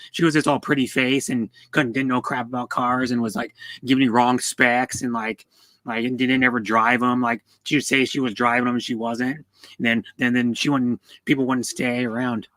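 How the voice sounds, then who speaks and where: A man talks casually on a livestream at an average pitch. He rambles and stammers, often repeating words as he goes. The sound is dry, with no room echo. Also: sharp tone. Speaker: streamer. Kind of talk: casual car chat on a livestream.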